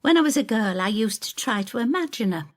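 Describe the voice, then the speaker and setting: Audio story role: actress playing anxious older woman, high-pitched, swooping, demanding and bitter. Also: animated, a little fast. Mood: wistful. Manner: plaintive, demanding. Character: Effie, anxious, bitter woman neighbour nearly seventy.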